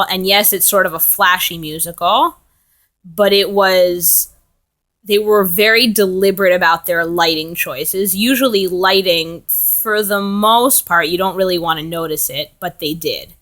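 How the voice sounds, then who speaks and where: A young woman from the United States talks straight to her camera like she's writing a letter to a friend. She rambles and loops back with plenty of ums and likes, in a bright, mid-pitched voice. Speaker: teacher abroad vlogging. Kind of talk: casual video letter to a friend.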